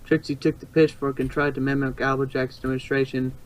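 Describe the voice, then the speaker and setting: A man's voice: mid-range voice, little pitch movement, brisk, rough recording. A man narrating fan fiction as an amateur storyteller, sometimes stumbling and repeating phrases.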